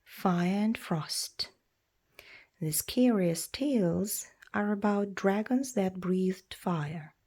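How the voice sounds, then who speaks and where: A woman reading a children's storybook aloud in a measured, expressive storytelling way. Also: mid-range voice. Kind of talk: read-aloud storybook narration.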